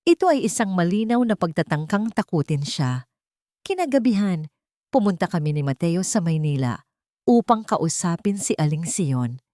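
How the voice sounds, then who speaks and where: A woman narrates a scripted drama in the first person. Her voice sits on the low side, recorded close and dry with very little room sound. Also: big pitch swings, normal speed, warm tone, dry recording. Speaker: audio story narrator.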